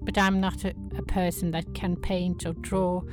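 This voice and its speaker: A woman with a Swedish accent chatting as an interview guest, searching for words in halting English. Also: fairly low pitch, flat delivery, music in the background. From Sweden. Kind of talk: casual interview chat.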